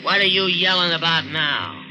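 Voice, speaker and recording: An actor playing a gruff, menacing cab driver with an American accent in a 1950s radio drama, curt, slangy and sneering. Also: male voice, fairly high pitch, very animated, moderate pace, noisy background. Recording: old radio broadcast with music.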